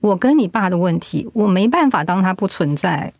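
A woman's voice: mid-range voice, animated, normal speed, darkish tone, dry recording. An actress playing a loving but resolute middle-aged mother in a radio drama. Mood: apologetic, resolute.

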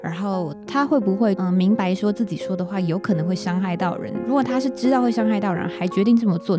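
A woman with a Taiwanese Mandarin accent hosts a podcast in a reflective, conversational way, speaking earnestly and articulately. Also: fairly low pitch. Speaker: podcast host.

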